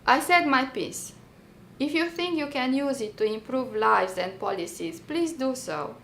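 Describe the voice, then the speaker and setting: Female reader, Romanian accent, gravelly fry, deliberate personal-testimony delivery, echoey room. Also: high voice, expressive, a bit bright. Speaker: writer reading own work. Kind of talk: dramatic reading of a personal testimony essay. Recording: roomy echo, faint background noise.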